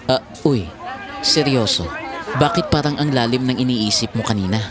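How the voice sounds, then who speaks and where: A man's voice: medium pitch, moderate pace, natural voice. Man acting cheeky young student, crowd around. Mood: curious, playful. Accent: Filipino. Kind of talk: Tagalog audio drama acting.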